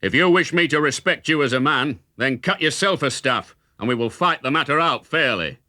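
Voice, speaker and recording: Man voicing blunt, forceful big older fighter. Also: medium pitch, animated, moderate pace, dry recording, studio quality, pitched above the performer's own voice. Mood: taunting. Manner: blunt, commanding storybook speech. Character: massive older quarterstaff fighter, blunt, hearty, taunting stranger.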